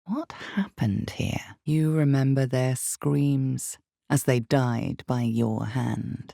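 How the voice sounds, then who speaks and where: A woman performing as an ominous storyteller in a dark fantasy game, narrating in a deliberate, suspenseful, theatrical way with breathy drops toward a whisper. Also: extremely low pitch, no echo, clean studio sound, full character voice. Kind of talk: dramatic second-person fantasy game narration.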